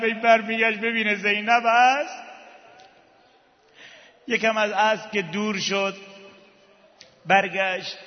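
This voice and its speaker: A man telling a sacred tragedy as a religious eulogist, with a plaintive, impassioned and drawn-out dramatic delivery. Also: sits high. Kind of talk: mournful religious storytelling with chanted lines. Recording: muffled, long hall reverb.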